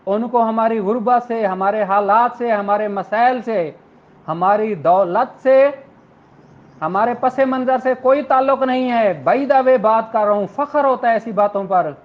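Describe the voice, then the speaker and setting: A man's voice: high-pitched, measured pace, lo-fi sound. A man with a Pakistani accent lecturing law students in an earnest, rhetorical way, repeating phrases for emphasis.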